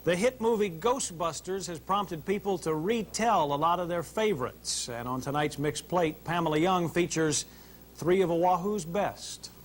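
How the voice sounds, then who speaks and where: A man's voice: sits high, moderate pace, slight background noise. A man anchoring a local TV newscast in a light broadcast style. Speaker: TV news anchor.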